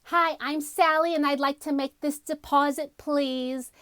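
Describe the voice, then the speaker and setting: A woman's voice: high-pitched, fairly even intonation, unhurried, fairly bright tone, no room sound, clean studio sound, pitched above the performer's own voice. A woman voicing a sweetly polite, simple bank customer as a comedy sketch character. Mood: chirpy, polite.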